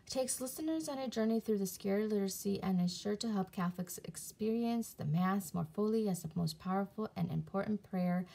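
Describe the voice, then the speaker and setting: A woman casually showing her CDs and reading their back covers aloud, halting often with fillers and restarts, recorded on a phone camera above a table indoors. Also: mid-range voice, vocal fry, darkish tone. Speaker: video creator reviewing CDs. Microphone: phone camera filming her hands on a table from above.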